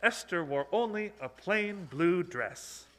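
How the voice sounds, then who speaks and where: A man narrating a puppet show for children in simple, measured, theatrical phrases. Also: high voice, lively intonation, a bit bright. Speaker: storyteller, narrator. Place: social hall, live broadcast.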